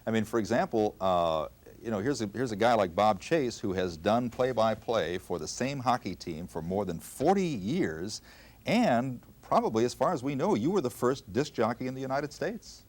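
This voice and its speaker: A man's voice: medium pitch, expressive, normal speed. An older man, a radio broadcaster, explaining and recalling things in conversation with colleagues.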